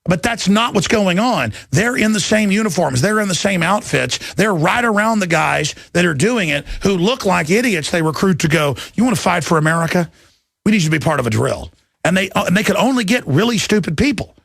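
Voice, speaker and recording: A man's voice: sits high, expressive, fairly quick, fairly bright tone, no room sound. A man hosting a talk radio show, ranting off the cuff in an agitated, emphatic, rambling way, often repeating words. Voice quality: gravelly. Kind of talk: impassioned unscripted talk radio rant. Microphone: close studio broadcast microphone.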